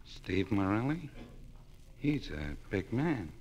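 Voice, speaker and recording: An actor in a 1940s radio crime drama playing a cold, laconic hired killer. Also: male voice, lowish voice, big pitch swings, slow, darkish tone, husky, full character voice. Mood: cold, businesslike.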